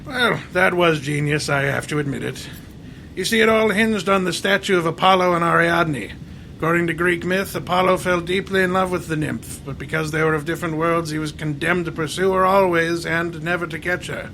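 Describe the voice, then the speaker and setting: A grandiloquent male detective of old-time radio, acted out by a man, with a forceful, highish voice. He is erudite and self-satisfied, fond of his dinner, and lays out each phrase with theatrical deliberation. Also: brisk, creaky, slight background noise. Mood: smug, satisfied. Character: pompous, erudite, gluttonous male genius detective.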